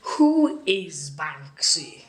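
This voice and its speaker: A woman's voice: low voice, big pitch swings, very slow, slight background noise. A woman asking questions and arguing casually in conversation. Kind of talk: casual debate. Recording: roomy, distant, music underneath.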